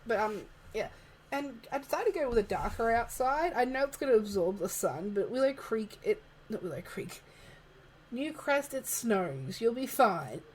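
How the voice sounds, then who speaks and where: A woman, chatting softly and laughing often while narrating a game build, her voice a little worn. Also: a little high, lively intonation, normal speed, slight background noise, low-quality recording. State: losing her voice. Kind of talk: casual voice-over chat. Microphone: home desk microphone, moved closer partway.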